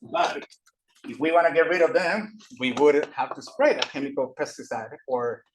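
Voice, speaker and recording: A man from Costa Rica giving a classroom lecture as a professor, halting with many fillers and restarts. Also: a little high, noisy background. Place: lecture room. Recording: echoey room from far off.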